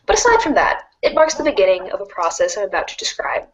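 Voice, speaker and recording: A woman's voice: mid-range voice, sing-song, quick pace, slight background noise, lightly acted. A woman playing a wry, erudite teenage girl who reads out a game guide in a formal, precise, dryly instructive way, as storybook narration. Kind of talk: scripted read-along storybook narration.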